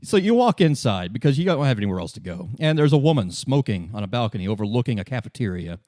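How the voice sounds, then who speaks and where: A man acts as game master in a casual improvised session. He describes scenes in a halting, wry manner at an ordinary male pitch. The sound is dry, with hardly any room. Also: very animated, dark tone, no room sound.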